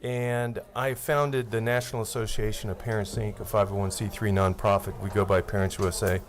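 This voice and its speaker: A man's voice: fairly low pitch, little pitch movement, fairly quick, background noise. A man in his sixties, an attorney, testifying methodically before a legislative committee at a table microphone. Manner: earnest, methodical, reads legal language aloud. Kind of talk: formal testimony.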